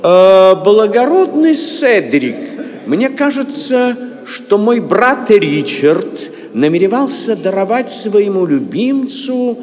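An actor playing a haughty, suspicious medieval prince in a Russian audio play, with mocking, declamatory delivery. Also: male voice, high-pitched, big pitch swings, slow pace, darkish tone, exaggerated voice. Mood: mock surprise. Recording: long hall reverb.

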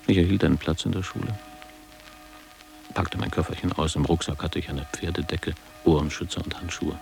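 A very deep-voiced middle-aged man recalls his past in a radio play. His delivery is measured and literary, with a creaky, gravelly grain. Music sits clearly behind his reflective narration. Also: steady tone, darkish tone, poor audio. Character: reflective ageing former pupil recalling postwar boarding school. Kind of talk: scripted radio drama narration.

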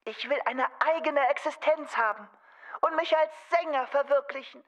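A woman's voice: sits high, normal speed, natural voice, rough recording. A woman playing a young, boyish telephone voice with a will of its own, sulky and defiant, in a comic radio drama. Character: young boyish runaway telephone voice, dreams of singing.

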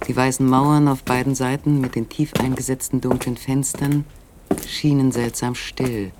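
A man narrating a literary radio play in a measured, suspenseful storytelling manner with dramatic pauses. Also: medium pitch, noisy background. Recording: faint background ambience.

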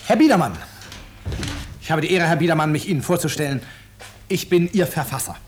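An actor playing an urbane, ironic author who narrates his own story in a 1950s German radio drama, courteous and deliberate. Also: a little high, animated, slight background noise, natural voice. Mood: politely needling.

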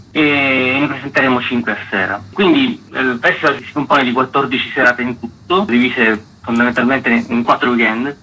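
Male arts director as radio guest, clear-cut explanatory delivery, bright mid-pitched voice. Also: a little hiss or hum, rough recording. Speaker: festival artistic director, interview guest.

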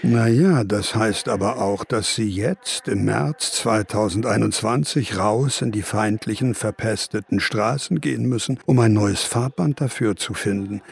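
An actor playing the scolding, mocking counter-consciousness of an old writer in a radio play, raspy and theatrical. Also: male voice, fairly low pitch, a little slow, hardly any pauses, full character voice. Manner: sneering, theatrical, grandiloquent rants. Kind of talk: modern German radio play.

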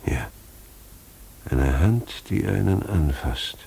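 Slow, deep-voiced brooding farmer. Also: male voice, lively intonation, vocal fry, warm tone, husky, full character voice. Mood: entranced, resolute. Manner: ponderous, searching. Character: brooding farmer and father, uneasy, drawn by unseen call. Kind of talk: German radio play acting.

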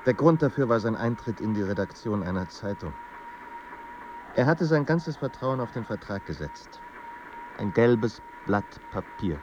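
An actor playing a somber, measured literary narrator in a German radio play. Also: male voice, lowish voice, lively intonation. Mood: somber, reflective. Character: somber male literary narrator of a troubled writer's life. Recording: dull sound with faint background noise.

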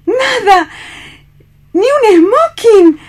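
Woman playing a flamboyant bossy femme from spain, radio play, high voice that swoops all over. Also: expressive, drawn-out words, heavily acted. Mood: impatient, commanding. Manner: imperious, theatrical. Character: bossy, flamboyant seductive woman rushing a timid lover.

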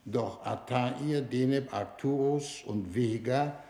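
An actor playing a shrewd foreign minister leading a space mission, speaking in a measured, formal way in a 1960s German-language radio drama. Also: male voice, medium pitch, mellow. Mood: probing, ironic. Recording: roomy 1960s radio studio recording.

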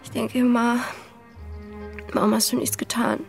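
A woman's voice: a little high, level tone, moderate pace, vocal fry, faint music behind. An actress in a radio play playing a defiant, frightened young female petty burglar, distrustful and insistent. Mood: grieving, wistful.

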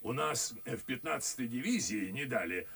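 An actor playing a blunt, self-satisfied army colonel with a curt, soldierly manner and a husky voice. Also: male voice, medium pitch, expressive, moderate pace, sharp tone, exaggerated voice. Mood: boastful. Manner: curt, boastful soldierly talk. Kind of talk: classic radio play acting.

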